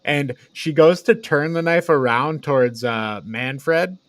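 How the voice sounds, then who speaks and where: A man's voice: fairly high pitch, slow, dry recording. A man co-hosting a podcast, chatting casually and informally as he retells and reviews a movie in loose paraphrase. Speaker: podcast host.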